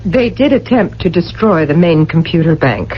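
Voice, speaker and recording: A woman's voice: lowish voice, animated, close to an everyday voice. Woman playing cool, impassive tribunal official. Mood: cool, stern. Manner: formal, measured, impassive. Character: coolly authoritative tribunal official in future society. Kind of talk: 1980 radio drama acting. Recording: dull old radio recording, faint hum.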